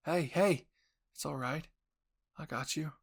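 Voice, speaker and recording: A man's voice: fairly high pitch, slow pace, creaky, breathy edge, exaggerated voice. A man voicing an earnest, protective young male soldier in an audio roleplay, pleading and reassuring. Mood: soothing.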